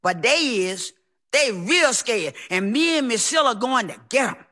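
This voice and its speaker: Bright, high-voiced woman telling a story. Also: expressive, a little slow. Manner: theatrical, emphatic, folksy, acting out dialogue. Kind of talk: live personal storytelling on stage.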